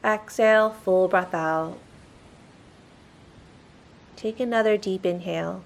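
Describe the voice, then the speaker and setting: A woman's voice: mid-range voice, slow pace, gravelly. A woman leading a guided meditation in a soothing, instructional manner.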